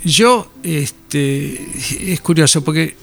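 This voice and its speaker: An older man from Argentina with a Rioplatense accent, an author answering interview questions in a halting, reflective way with many fillers. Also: fairly high pitch, creaky, fairly bright tone, soft background music. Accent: Rioplatense Spanish. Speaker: author interviewed about his novel.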